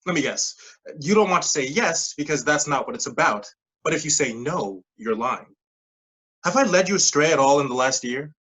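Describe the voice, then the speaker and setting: A persuasive scheme recruiter played by an actor in a staged play reading. The voice sits at a middling pitch with a slightly husky edge, pressing insistently in clipped phrases while dodging plain words. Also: animated, moderate pace, fairly bright tone. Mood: persuasive, intense. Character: smooth-talking, pushy pyramid-scheme recruiter mentoring a junior coworker.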